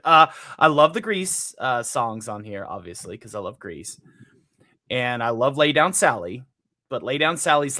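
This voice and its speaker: A man's voice: a little high, pushed and bright, no echo, studio quality. A man co-hosting a casual music podcast, wry and self-mocking, hesitating often. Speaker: podcast co-host. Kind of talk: casual chat about music.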